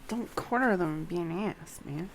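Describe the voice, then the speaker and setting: A woman's voice: fairly low pitch, normal speed. A woman streaming a game live, reacting casually in blunt, slangy remarks. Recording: live stream over loud game noise.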